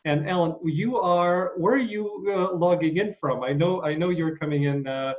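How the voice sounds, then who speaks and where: A man, originally from Ukraine, hosts a casual video interview with a slightly higher voice and lots of fillers and restarts. His voice comes through dull and lo-fi, as over a remote call. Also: normal speed. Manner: enthusiastic, frequent fillers and restarts. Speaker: interview host.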